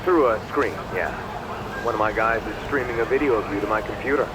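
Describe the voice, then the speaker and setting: A man's voice: fairly low pitch, brisk, very noisy, close to an everyday voice. A man voicing a composed, friendly ally in a crime drama video game. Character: Nick, composed friendly ally watching from afar. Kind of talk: video game cutscene acting.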